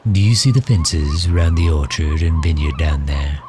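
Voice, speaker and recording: A man playing a suave, protective villain in an ASMR audio roleplay, speaking in a gentle, courtly, formal and measured manner. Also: a bass voice, music in the background. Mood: proud, reassuring. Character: suave, protective male villain doting on his captive guest.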